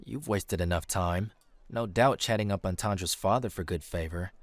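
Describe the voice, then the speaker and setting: An actor playing a temperamental young male crusader in a fantasy audio drama, gruff and impatient. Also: low voice, moderate pace, dry recording, natural voice. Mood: grumbling. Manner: gruff, grumbling, sighing impatience.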